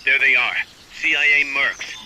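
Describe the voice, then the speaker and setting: An actor voicing a confident male military commander who gives brisk, instructive orders over the radio in a game. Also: mid-range voice, steady tone, pushed and bright. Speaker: mission support on radio. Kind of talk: video game cutscene and tutorial dialogue. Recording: game audio with noisy background.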